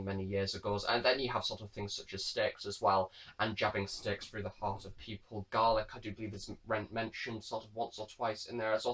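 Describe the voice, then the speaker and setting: A man's voice: lowish voice, fairly bright tone, low-quality recording. A man giving a review commentary in a rambling, hedging way. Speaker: reviewer, host of an audio drama review series.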